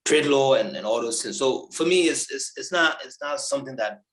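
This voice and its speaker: A man's voice: medium pitch, animated, brisk, fairly bright tone, reverberant, rough recording. A young man from Liberia being interviewed over a video call, talking casually with many fillers and repeated words. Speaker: interviewee, law graduate.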